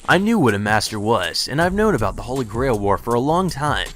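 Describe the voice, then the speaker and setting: A man's voice: mid-range voice, sing-song, a little fast, fairly bright tone. A man hosting a let's play, reading game dialogue aloud and adding casual, blunt commentary. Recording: music playing under the voice.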